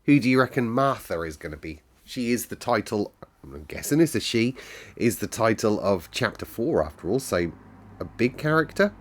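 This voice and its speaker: A man's voice: fairly low pitch, sing-song, moderate pace. A man hosting a story read-aloud, speaking in a conversational, musing way with listeners. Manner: musing, conversational, thinking out loud. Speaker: storytelling host. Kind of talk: story read-aloud and casual chat with viewers.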